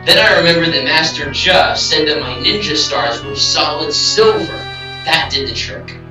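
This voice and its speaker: A man's voice: a little high, expressive, moderate pace, natural voice. A man playing a brave, earnest young ninja hero with dramatic delivery in an amateur audio drama. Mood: explaining. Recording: echoey, distant hobby recording over music.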